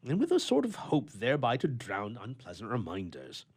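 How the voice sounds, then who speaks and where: A man playing a grumpy, flustered bachelor with a soft heart, telling his tale with theatrical bluster and stammering in old-fashioned storybook prose. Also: mid-range voice, huge pitch swings, normal speed, slightly rough, heavily acted. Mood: melancholy, brooding.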